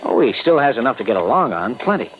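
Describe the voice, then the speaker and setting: Radio drama casting: man as chummy, talkative company executive, highly animated middle voice, narrow-band vintage recording. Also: fast talker, close to an everyday voice. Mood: wry, gossipy. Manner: folksy, familiar, gossipy. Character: genial, chatty male insurance company executive. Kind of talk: 1950s-60s radio drama acting.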